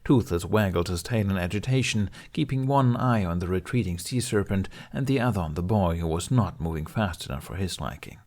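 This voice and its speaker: A man's voice: low-pitched, lively intonation, brisk, few pauses, darkish tone. A man reading scripted fantasy fiction as an audiobook narrator.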